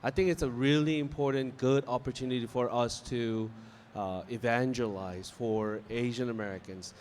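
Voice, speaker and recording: Korean-accented pastor, easygoing. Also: male voice, mid-range voice, steady tone, normal speed, a little mellow, slight background noise. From South Korea. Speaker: senior pastor.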